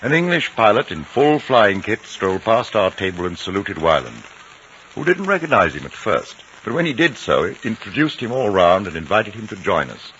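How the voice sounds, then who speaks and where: An actor playing an educated, reflective English man telling a story in a measured literary manner, in an old radio drama. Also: fairly low pitch, big pitch swings, fairly bright tone. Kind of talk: 1950s radio drama narration. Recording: dull, noisy vintage radio recording.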